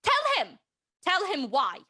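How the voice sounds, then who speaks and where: An actress playing a bold, flirtatious house guest in a period mystery radio play, with a teasing, theatrical manner. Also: female voice, extremely high pitch, wildly swooping pitch, slow, pushed and bright, heavily acted. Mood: indignant.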